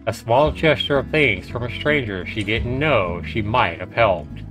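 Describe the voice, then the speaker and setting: A male livestreamer reads game narration in an average-pitched voice at a slow pace. His delivery is dramatic, with colloquial asides. Faint music plays behind him. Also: animated. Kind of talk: game narration read aloud with casual commentary.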